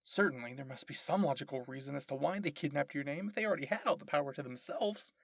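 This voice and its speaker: A man's voice: fairly high pitch, lively intonation, fast talker, creaky, a bit bright, no room sound, clean studio sound, exaggerated voice. A man playing an inquisitive, analytical woman military officer in an audio story, pondering and articulate. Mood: puzzled.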